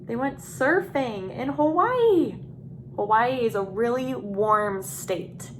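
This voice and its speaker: A woman teacher reading a picture book aloud to young children in a deliberate, expressive storytelling way. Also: fairly high pitch, slight background noise, low-quality recording.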